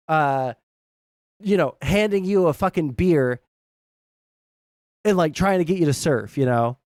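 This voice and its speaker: A man's voice: a little high, darkish tone, no echo, studio quality. A young American man giving casual, rambling, blunt political commentary as a livestream host, swearing freely. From southern United States.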